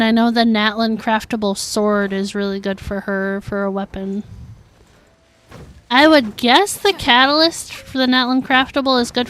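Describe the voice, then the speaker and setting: A woman's voice: fairly high pitch, fairly bright tone, clear, resonant tone. A woman livestreaming a video game, chatting casually and thinking aloud as she answers viewers. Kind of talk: casual chat while playing a video game.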